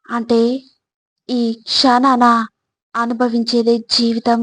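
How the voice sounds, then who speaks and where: A woman reading scripted motivational narration in measured short phrases with frequent pauses. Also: fairly high pitch, monotone, no room sound. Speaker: narrator of motivational spiritual quotes.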